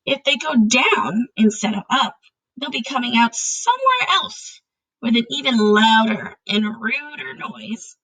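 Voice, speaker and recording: A curious storybook girl, played by a woman, with a fairly high voice that has a husky, creaky edge. She is polite and patient as she questions and explains. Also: very animated, a little slow, a bit bright, full character voice, low-quality recording. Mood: polite explaining.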